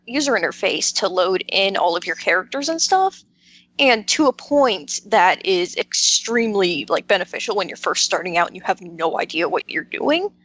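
A woman's voice: mid-range voice, fairly bright tone, no echo. A woman chatting casually as a podcast panelist, explaining things informally and often interrupting herself. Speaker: podcast roundtable panelist, visual novel maker. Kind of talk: casual chat.

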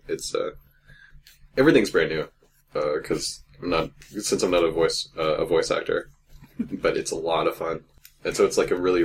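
A man with a very deep voice and a gravelly edge answers questions about acting a role. He speaks hesitantly but easily. A faint hiss sits behind him. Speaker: actor in an audio drama, not a voice actor. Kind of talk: casual interview answers.

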